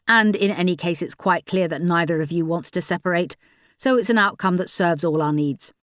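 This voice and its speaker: A woman's voice: medium pitch, moderate pace, dry recording, close to an everyday voice. Woman playing female investigator, insistent, cool, clipped, dry sound.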